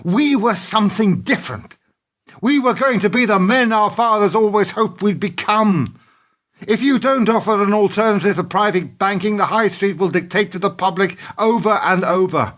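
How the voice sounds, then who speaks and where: A man's voice: high voice, moderate pace, fairly bright tone. A man acting in a radio play as an idealistic, indignant businessman, morally earnest and defiant, with an emphatic speechifying delivery. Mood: earnest, impassioned.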